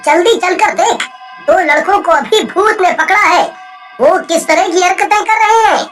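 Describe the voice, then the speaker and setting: Someone voicing a very high chatty villager. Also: normal speed, a bit bright, faint music behind. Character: chatty male village man, gossiping.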